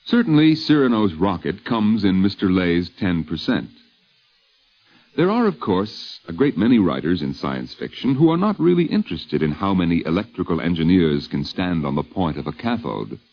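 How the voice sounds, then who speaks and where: A man's voice: low-pitched, very animated, normal speed, dull audio. A man hosting with scripted narration in the style of a 1950s radio documentary, on an old recording.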